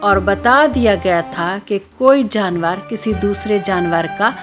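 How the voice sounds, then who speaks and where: A woman narrating a scripted children's story in a measured, expository way. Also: mid-range voice. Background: background music.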